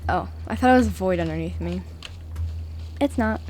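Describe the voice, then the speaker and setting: A woman's voice: fairly low pitch, moderate pace, a little mellow. A woman giving casual commentary as she streams a video game. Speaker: gaming streamer. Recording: faint background noise. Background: video game sounds.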